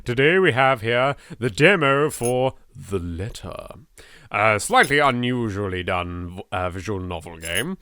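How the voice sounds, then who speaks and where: A man's voice: mid-range voice, pushed and bright, no room sound, clean studio sound. A British man giving casual, sweary and apologetic commentary as he plays a game on video. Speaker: gaming video host. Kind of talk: casual let's play commentary.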